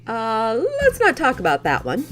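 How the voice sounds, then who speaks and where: A friendly young heroine, put on by a man in a high, lively falsetto. She chats lightly in a homemade audio drama. The voice is heard from a little off the mic. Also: moderate pace, faint music behind, full character voice.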